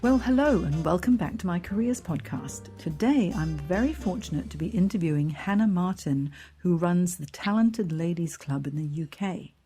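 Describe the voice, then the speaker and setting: Woman podcast host, from Hong Kong, low pitch, mellow dark tone, upbeat interviewer. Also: animated, normal speed, hardly any pauses, slightly rough, faint music behind. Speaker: podcast host, career coach. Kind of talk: scripted intro then interview chat.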